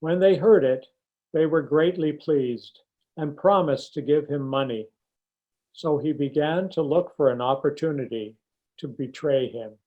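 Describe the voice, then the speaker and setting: A man's voice: on the high side, unhurried. A man reading a scripture narrative aloud from a text, as a church member, on a slightly dull recording.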